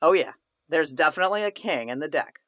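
An actress playing a scheming, imperious playwright in a radio play, with a melodramatic, emphatic delivery. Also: female voice, sits low, expressive, fast talker, fairly bright tone, dry recording, clean studio sound. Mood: sly, scheming.

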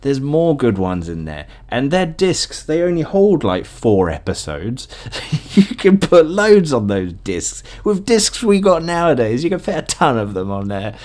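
A man's voice: medium pitch, big pitch swings, measured pace, darkish tone. A British man in his thirties reminiscing in a casual, rambling, chuckling way, like a podcast host talking off the cuff.

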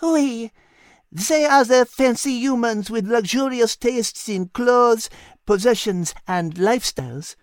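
A man's voice: very high-pitched, slow, dry recording, clean studio sound, pitched above the performer's own voice. A man voicing an elegant, clever female animal with a French accent, gracious and articulate, in a storybook. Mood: informative, bright.

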